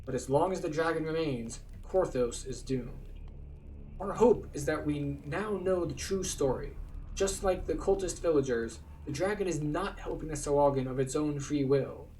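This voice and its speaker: A man's voice: fairly high pitch, moderate pace, darkish tone, far from the mic, slight background noise, natural voice, poor audio. Man voicing an earnest fantasy quest-giver, slightly off-mic. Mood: grave, urgent. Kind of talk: read-aloud fantasy game dialogue.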